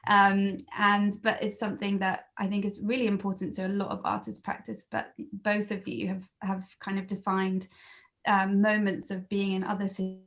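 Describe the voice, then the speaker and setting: A woman's voice: mid-range voice, flat delivery, moderate pace, fairly bright tone. A woman hosting an interview over a video call, hesitant and full of fillers.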